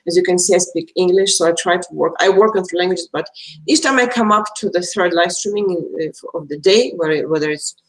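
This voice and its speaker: A woman from Belarus, a native Russian speaker with a Russian accent, chatting casually and haltingly as she hosts an astrology live stream. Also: fairly low pitch, low-quality recording. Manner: chatty, halting, searching for words.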